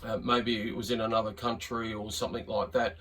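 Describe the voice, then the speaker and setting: A man's voice: lowish voice, flat delivery, brisk, a bit bright, breathy edge. An Australian man giving a casual, unscripted demonstration as a radio hobbyist, rambling and hesitant in a laid-back way. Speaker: radio hobbyist presenting a video. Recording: distant mic, slightly muddy room sound.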